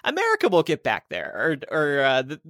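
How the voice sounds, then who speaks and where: A man playing Jesus, an upbeat and optimistic savior, hosts the conversation. He speaks earnestly, with a lively, mid-range voice. The sound is dry. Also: fairly high pitch, brisk, no room sound. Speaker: podcast host, moderator. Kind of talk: comedy podcast interview.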